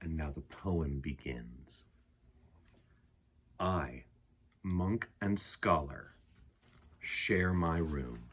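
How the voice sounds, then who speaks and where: A man reading a picture book aloud to children, gently addressing his young listeners with a husky voice. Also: rumbling low, lively intonation, very slow, long pauses, a little hiss or hum. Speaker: storyteller, picture book reader.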